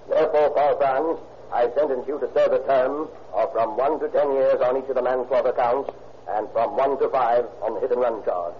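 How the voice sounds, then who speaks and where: A man's voice: medium pitch, natural voice. A man playing a grave judge handing down a sentence. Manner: formal, measured pronouncement. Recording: dull 1930s radio recording with faint hiss.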